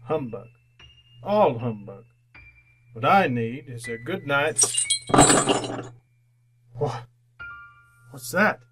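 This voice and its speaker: A man's voice: medium pitch, big pitch swings, extremely slow, pauses often, very noisy. A man playing a miserly, cantankerous old businessman in an old-time radio drama, with a grumbling, theatrical, sputtering delivery. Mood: uneasy, frightened.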